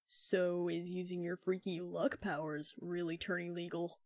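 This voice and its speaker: A woman playing a sarcastic, laid-back young man with a deadpan, wry manner in a fan fiction podcast. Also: lowish voice, a little slow, no echo, studio quality. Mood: needling.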